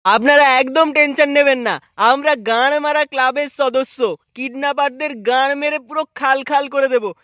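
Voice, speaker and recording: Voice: sits high, fairly even intonation, moderate pace, a bit bright, no room sound, clean studio sound. A performer voicing a brash, boastful village club strongman in a comic cartoon, cocky and crude-mouthed. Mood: defiant.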